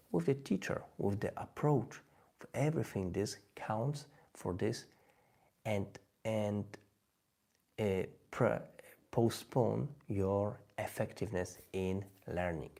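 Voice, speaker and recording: Polish-accented male vlogger, dark and mellow, halting delivery. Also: fairly low pitch, gravelly. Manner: halting, many restarts and "yeah?" tags. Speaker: language-learning vlogger. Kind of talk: direct-to-camera talk.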